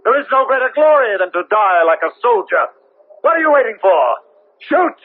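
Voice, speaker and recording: A man playing a proud, unrepentant former SS captain in a radio drama, with a husky voice and a military bearing. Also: extremely high pitch, very animated, normal speed, heavily acted. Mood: defiant. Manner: defiant, military, swinging from cold to forceful. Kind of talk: dramatic radio play acting. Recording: tinny, narrow-band old radio broadcast.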